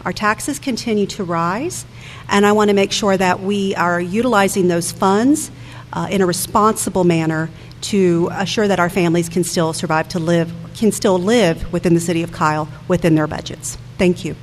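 A woman's voice: lowish voice, moderate pace. Woman candidate speaking in a noisy, echoing hall. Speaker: city council candidate. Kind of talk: prepared campaign remarks at a public forum. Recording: echoey hall with background noise.

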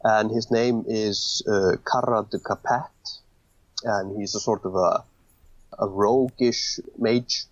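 Casting: man, low husky voice, dry close sound, halting podcast guest. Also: steady tone, dry recording, lo-fi sound. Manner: hesitant, many fillers and restarts. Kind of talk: casual interview talk.

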